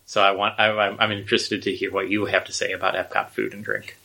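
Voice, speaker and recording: Podcast host, male, bright and somewhat low voice, eager rambling chat. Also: flat delivery, fast, gravelly, rough recording. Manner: enthusiastic, rambling with restarts. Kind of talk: casual chat.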